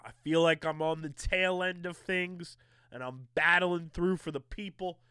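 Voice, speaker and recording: A man's voice: fairly high pitch, steady tone, unhurried, pushed and bright, clean tone, no echo, clean studio sound. A male podcast host from the area, sounding a little nasal from allergies, talking sports in an emphatic, hyped-up way.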